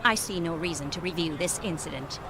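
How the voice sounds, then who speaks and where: A woman's voice: on the low side, brisk, background noise, natural voice. A woman playing a strict, impatient alien superior in human form in a comedic audio drama, with stilted, clipped, formal speech. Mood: defensive. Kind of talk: comedic audio fiction acting.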